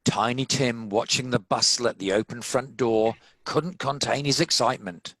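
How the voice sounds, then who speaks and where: A man's voice: mid-range voice, moderate pace, fairly bright tone, no echo, poor audio. A man with a New Zealand accent narrating a scripted classic story.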